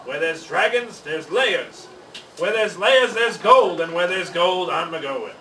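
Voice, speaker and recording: A greedy, stubborn storybook pirate captain, played by a man reading aloud, full of exclamations and grumbles. The voice is high-pitched and sharp, a little distant from the mic over faint noise. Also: animated, unhurried, roomy sound, full character voice. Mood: scheming, insistent. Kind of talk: children's storybook character voice.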